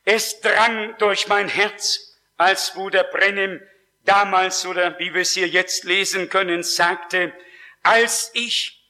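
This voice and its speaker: Slow, bright-voiced preacher. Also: male voice, high-pitched. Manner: slow, emphatic preaching with rising stresses. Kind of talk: sermon with personal testimony.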